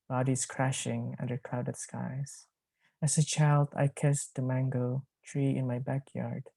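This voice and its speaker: A man reading his poetry aloud in a measured, deliberate way. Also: mid-range voice, gravelly, mellow, dry recording, low-quality recording. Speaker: poet.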